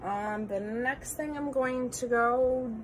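A woman's voice: fairly high pitch, slow pace, a little hiss or hum, low-quality recording. A woman in her thirties chatting through a makeup tutorial in her bedroom, slightly nasal from congestion, rambling with many ums and apologetic asides, filmed on a close phone camera. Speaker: beauty vlogger. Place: bedroom at home, indoors. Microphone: phone camera held close.

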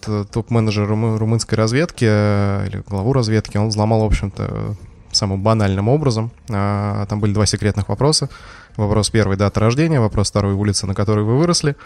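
Low gravelly guy on a tech podcast, lots of uh and um, telling a story. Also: a little mellow. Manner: hesitant, many fillers, storytelling. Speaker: security expert guest. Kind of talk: podcast explanation.

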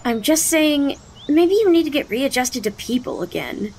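Woman acting a frank, high-voiced young confidante. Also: expressive, normal speed, a little mellow, slight background noise. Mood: firm, worried. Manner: firm, reasoning. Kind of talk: audio drama acting. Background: music.

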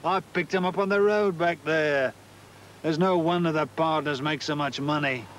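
A man's voice: on the high side, moderate pace, slight background noise, close to an everyday voice. A man playing a sly scoffing medieval peasant. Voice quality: mocking, wry. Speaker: peasant character. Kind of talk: educational drama acting. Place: outdoors under trees.

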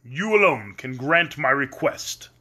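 A polite, gentle storybook tin man who speaks earnestly, as a reader puts him on. The voice is husky and close to the reader's own. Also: medium pitch, expressive, a little slow, exaggerated voice.

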